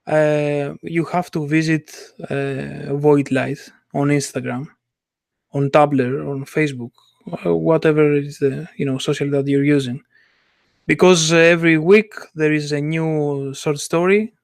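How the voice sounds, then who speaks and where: Man, slightly high voice, non-native English, halting phrasing, podcast co-host, casual discussion, dry close sound. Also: creaky, dry recording. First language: not English.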